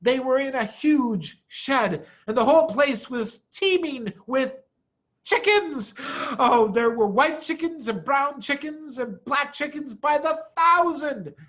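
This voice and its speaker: Man, animated storybook reading, swooping pitch, dramatic emphasis, dull muffled recording. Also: takes it slowly. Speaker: storybook reader, head of school. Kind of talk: expressive children's story read-aloud.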